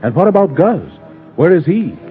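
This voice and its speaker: Muffled old radio sound with faint music behind a male announcer. He speaks in a fairly high, animated voice, posing dramatic cliffhanger questions in vintage serial style. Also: normal speed.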